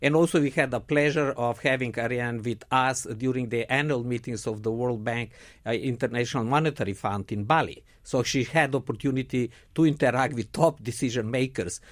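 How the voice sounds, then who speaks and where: A man who organized the competition, giving formal, measured interview answers. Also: mid-range voice.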